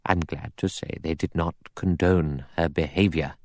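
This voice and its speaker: A man's voice: low voice, fairly even intonation, darkish tone, dry recording. Man voicing a prim, dry, severe elderly spinster. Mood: serene disapproval. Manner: dry, brisk, precise, disapproving. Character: prim, pious, severe elderly spinster, coldly self-righteous.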